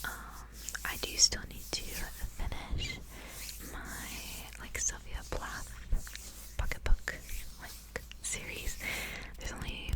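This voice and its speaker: A woman doing ASMR, speaking in a soft breathy whisper, chatting casually and reading aloud, often repeating words. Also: slow, low-quality recording. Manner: repeats words and short phrases as triggers. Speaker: ASMR video creator and reader.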